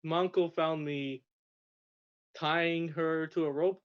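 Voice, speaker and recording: A man's voice: fairly high pitch, fairly even intonation, takes it slowly, clear, resonant tone. A young man from Russia telling stories as a podcast guest, rambling with frequent restarts and stammers. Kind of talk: casual storytelling. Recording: dull lo-fi sound.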